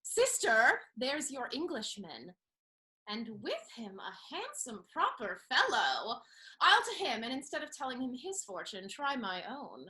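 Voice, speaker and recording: Woman playing a high, lively, saucy young noblewoman. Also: a little slow, exaggerated voice, low-quality recording. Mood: eager. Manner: teasing, saucy repartee. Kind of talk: Restoration comedy stage acting.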